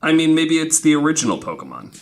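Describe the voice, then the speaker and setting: A man's voice: a little high, wildly swooping pitch, quick pace. A man hosting a podcast with casual banter, joking and asking questions informally. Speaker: podcast host.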